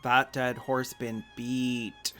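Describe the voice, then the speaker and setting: A man's voice: mid-range voice, flat delivery, very slow, pushed and bright, soft background music. A man hosting a video essay, mixing sarcastic, mocking asides with plain argument.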